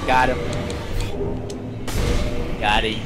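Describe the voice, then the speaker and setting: A man's voice: on the low side, little pitch movement, extremely slow, over music. A young adult man talking casually and informally with slang during a game. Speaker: gamer playing co-op. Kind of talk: casual gaming chat.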